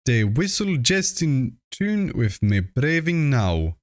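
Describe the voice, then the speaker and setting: A man reading a horror story, voicing a dying, superstitious old rustic grandfather in drawn-out country dialect. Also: mid-range voice, very animated, dry recording, clean studio sound, pitched above the performer's own voice. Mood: ominous. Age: older. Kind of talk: storybook character voice in horror tale.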